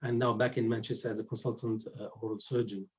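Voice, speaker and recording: Hesitant surgeon on a video call. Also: male voice, on the low side, flat delivery, fast, husky. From Egypt. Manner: hesitant, many fillers and restarts. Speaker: guest lecturer, oral surgeon. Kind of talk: webinar lecture. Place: indoors at home. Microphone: computer mic on a video call. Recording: dull lo-fi video call audio.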